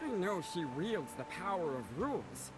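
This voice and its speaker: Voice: mid-range voice, very animated, moderate pace, lightly acted, poor audio. An actor voicing a fussy, rule-obsessed village mayor in a game, eager and effusive. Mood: earnest.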